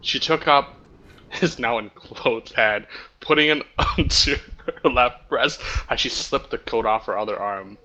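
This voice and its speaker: A man's voice: mid-range voice, unhurried, bright, forceful tone, poor audio. A man reading roleplay text aloud to friends over voice chat, stumbling now and then and holding back laughter. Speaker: story reader. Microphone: online voice chat microphone. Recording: faint background noise on voice chat.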